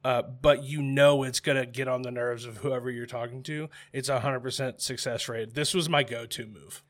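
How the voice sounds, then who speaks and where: A man's voice: medium pitch, steady tone, normal speed. A man in his thirties co-hosting a podcast, chatting casually in a laid-back, self-mocking way and laughing often. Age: 30s. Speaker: podcast co-host. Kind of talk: casual chat.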